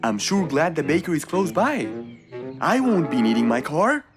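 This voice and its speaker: A woman's voice: low voice, sing-song, moderate pace, music in the background. A woman voicing a kindly, helpful fox with a friendly, patient manner in a children's cartoon. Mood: hungry, musing.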